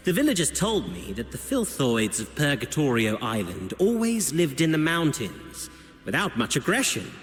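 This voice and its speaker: Smooth scheming officer for a video game, flowery n theatrical, very animated, big reverb, music under it. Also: male voice, fairly high pitch. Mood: sly, deferential. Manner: flowery, insinuating, deliberate storytelling. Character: suave, theatrical male subordinate officer reporting to his chief. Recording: echoey hall reverb with music.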